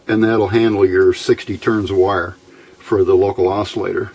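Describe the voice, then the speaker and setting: A man giving an informal, unscripted hands-on electronics demonstration, talking haltingly and thinking aloud as he goes. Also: medium pitch, darkish tone. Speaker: radio hobbyist presenting his build. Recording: clear background noise.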